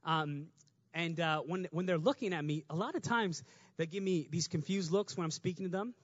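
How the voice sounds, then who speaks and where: High-voiced man preaching a sermon. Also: no echo, highs cut off. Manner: conversational, fast, builds to shouting.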